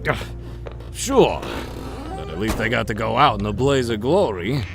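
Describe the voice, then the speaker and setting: Man playing a low-voiced wisecracking pig. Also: sing-song, moderate pace, vocal fry, over music. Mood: sardonic. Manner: sardonic, slangy. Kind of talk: audio drama acting.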